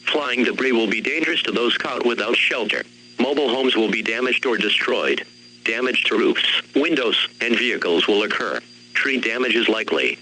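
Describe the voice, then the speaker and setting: A man's synthetic voice reading a scripted weather warning in a formal, measured way. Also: mid-range voice, pushed and bright, a little hiss or hum, poor audio. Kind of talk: scripted emergency bulletin narration.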